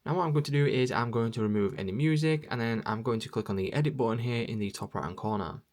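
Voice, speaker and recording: A man's voice: medium pitch, fast, darkish tone. A man presenting a step-by-step app tutorial in plain instructional narration.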